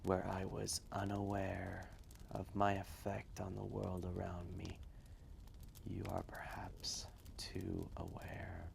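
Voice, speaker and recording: A man playing an ancient, wise and gentle dragon, telling a story in a hushed, breathy, measured voice with long pauses. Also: sits low, completely flat delivery, slight background noise, exaggerated voice. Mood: gentle, reassuring. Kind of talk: slow intimate storytelling roleplay, ASMR-like.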